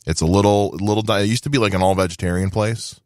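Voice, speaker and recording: A man chatting casually and telling comic anecdotes in a jokey way, quick to laugh. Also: fairly low pitch, fairly even intonation, dry recording, studio quality. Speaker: comedian guest on a radio show. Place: small dry studio. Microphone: studio microphone.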